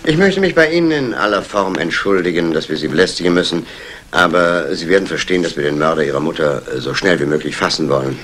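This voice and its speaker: An actor playing a polite, probing police inspector in a 1960s German radio crime play, formal and questioning. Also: male voice, deep voice, quick pace, a bit bright. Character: courteous, probing male Scotland Yard police inspector. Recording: noisy old radio play recording.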